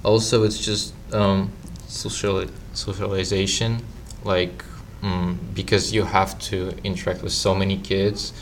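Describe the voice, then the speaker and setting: A teenage student from Ukraine answering interview questions in a measured way, with many ums and uhs. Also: male voice, low-pitched, flat delivery, slight background noise. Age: teens. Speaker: student guest.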